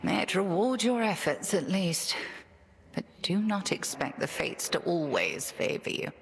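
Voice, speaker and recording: A woman playing a stern witch headmistress in a video game, speaking formally and gravely. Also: on the low side, lively intonation, measured pace, a little hiss or hum. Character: stern witch headmistress, cryptic and authoritative mentor.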